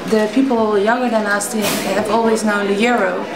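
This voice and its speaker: A woman's voice: medium pitch, steady tone, a little fast. A woman, answering thoughtfully and correcting herself as she goes. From Tilburg, Netherlands. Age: 20s. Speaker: interviewee. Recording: distant mic, echo and loud crowd.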